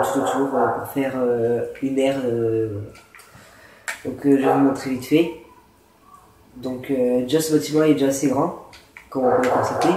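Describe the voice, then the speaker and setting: Stuffy-nosed man, flat and rambling. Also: medium pitch. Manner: rambling, hesitant explaining with many fillers. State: stuffy with a cold and sore throat. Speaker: gaming channel host. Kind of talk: casual gameplay commentary and building tutorial. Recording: roomy echo with faint noise.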